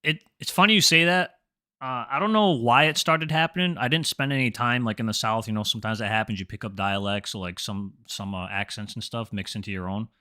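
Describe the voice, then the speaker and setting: A man's voice: mid-range voice, brisk, bright, forceful tone. A man from New England with a Boston accent, chatting casually with viewers while streaming a game, dropping his R's and filling his talk with "like". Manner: rambling, filler-heavy, drops his R's. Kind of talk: casual gaming livestream chat.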